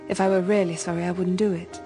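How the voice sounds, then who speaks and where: An actress playing an enigmatic, resolute young upper-class woman in a radio drama, soft, breathy and quietly detached. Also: fairly low pitch, level tone, fast, music in the background, lightly acted. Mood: regretful, composed. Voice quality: soft, breathy, near-whispered.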